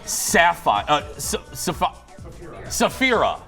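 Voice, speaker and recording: A man's voice: fairly high pitch, sing-song, slow pace, pushed and bright, soft background music. A man with a Minnesota accent hosting a talk show, teasing and laughing often as he runs a quiz game. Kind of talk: playful talk show banter.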